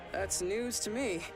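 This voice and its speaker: A woman's voice: medium pitch, lightly acted. A woman voicing an easygoing, modest young fighter in a fantasy game, casual and wry.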